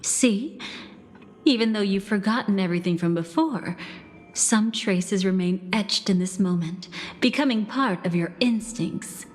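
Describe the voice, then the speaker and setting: A woman playing a soft-spoken game narrator who recalls bittersweet memories in a measured, wistful way. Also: medium pitch, close to an everyday voice. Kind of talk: slow storytelling narration in a video game. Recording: long reverb, music behind. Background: music.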